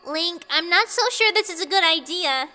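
A tiny fairy companion, voiced by a woman narrator, high and bright. She is bossy and indignant, always ready to scold, in a storybook reading with light reverb. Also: lively intonation, normal speed, faint music behind, full character voice. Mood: concerned disapproval.

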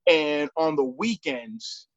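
Male guest, late thirties, slightly high voice, casual and halting, muffled lo-fi recording. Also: lively intonation, dry recording. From United States. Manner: halting, repeats words and phrases. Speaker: podcast guest, sports referee. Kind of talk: casual conversational interview.

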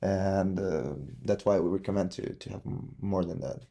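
A man from Venezuela with a Venezuelan Spanish accent, a podcast guest speaking English haltingly with many restarts as he searches for words. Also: deep voice, fairly even intonation, vocal fry, dark tone. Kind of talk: casual interview chat.

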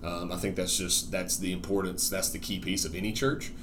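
A young man with a relatively deep voice, a church worship director talking casually on a podcast, relaxed and halting with many ums. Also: heavy background noise. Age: young adult.

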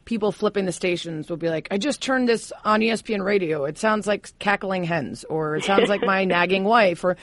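A woman host leads the conversation in a chatty, affirming way and asks long, winding questions. Her voice sits lower than it once did after vocal cord surgery. The recording is close and dry, with no room echo. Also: fairly quick, hardly any pauses. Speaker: podcast host, interviewer. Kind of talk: conversational interview.

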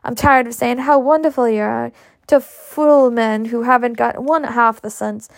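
A spoiled young belle, cool and irritable, read by a woman. Her voice sits a little high and carries a gravelly edge in a dry recording. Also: steady tone, normal speed, no echo. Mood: resentful. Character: spoiled, sharp-tongued young Southern belle.

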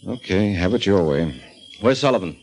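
A man's voice: low-pitched, expressive, moderate pace, a little mellow. An actor playing a sardonic, cynical male private detective in a radio crime drama, blunt and unruffled. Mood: casually teasing.

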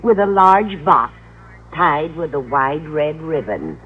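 A woman's voice: low-pitched, big pitch swings, takes it slowly, breathy edge, exaggerated voice. An actress playing a dotty, smitten older landlady in a radio sitcom, gushing fondly and stubbornly with dramatic emphasis. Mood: proud, excited. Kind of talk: 1950s radio sitcom acting. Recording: muffled, noisy old radio recording.

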